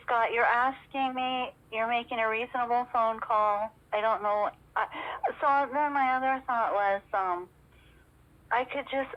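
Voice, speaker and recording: A middle-aged woman talking casually on a recorded phone call, rambling and hesitant as she goes. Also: a little high. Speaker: caller in a personal phone conversation. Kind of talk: casual private phone chat. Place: telephone call. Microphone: telephone.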